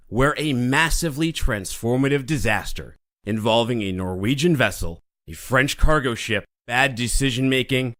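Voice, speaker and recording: A man opens his podcast with a bright, upbeat scripted introduction. His pitch rises and falls a lot, with lively swings on key words. The voice sits at a middle pitch for a man. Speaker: podcast host.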